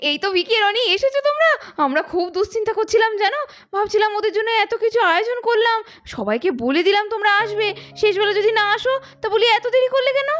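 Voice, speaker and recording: A woman's voice: extremely high pitch, expressive, fast talker, fairly bright tone. A woman voicing an eager, caring young woman doll in a cartoon family story, with a girlish, chiding manner. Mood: relieved, scolding. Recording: music behind the voice.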